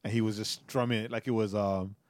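Animated man in his forties, dry mic. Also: on the low side, fast, no echo. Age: 40s. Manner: animated storyteller, laughs often, says "right?" a lot. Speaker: podcast co-host. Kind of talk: casual chat.

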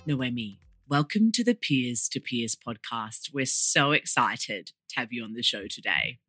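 A woman's voice: rumbling low, animated, a little slow, fairly bright tone, no echo, soft background music. A woman hosting a podcast, speaking in an upbeat, emphatic way straight to her listeners. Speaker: podcast host. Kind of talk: scripted podcast introduction and interview hosting.

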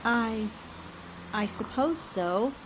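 A woman playing an earnest, analytical newspaperwoman in old-time radio drama style, shaken but determined and deliberate in speech. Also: a little high, expressive, slight background noise, poor audio. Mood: troubled, pondering.

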